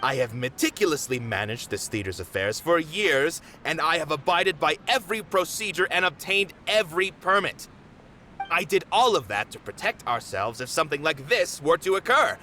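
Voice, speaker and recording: An actor playing a dutiful, proud theater manager in a video game, speaking in a measured, formal, earnest way. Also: male voice, a little high, animated, pushed and bright, slight background noise. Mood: indignant, firm.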